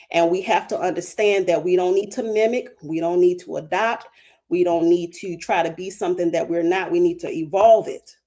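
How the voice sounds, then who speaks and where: A woman's voice: lowish voice, darkish tone. Baltimore-accented woman on a livestream, fired up, preachy, keeps repeating lines for punch. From Baltimore, USA. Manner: passionate, emphatic, preaching cadence with repeated phrases. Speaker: spiritual guest speaker. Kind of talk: livestream talk.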